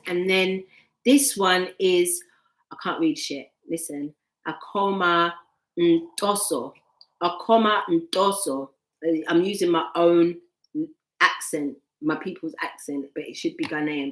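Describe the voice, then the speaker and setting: A woman giving a live tarot reading from home on a laptop mic, veering from excited outbursts to soft murmuring. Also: medium pitch. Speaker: tarot reader. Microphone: laptop microphone. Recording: echoey room, laptop mic.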